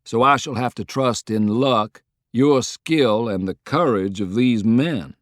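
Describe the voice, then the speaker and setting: A man voicing a shrewd, persuasive Confederate statesman in an audiobook, speaking in a deliberate, eloquent way. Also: medium pitch, no echo, studio quality, lightly acted. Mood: wry. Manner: deliberate, articulate, rhetorical.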